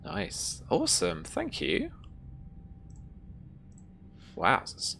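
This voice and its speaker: A game master narrating a live tabletop horror game in an improvised, halting way, pausing to search for words. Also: medium pitch, wildly swooping pitch, vocal fry, a bit bright, a little hiss or hum.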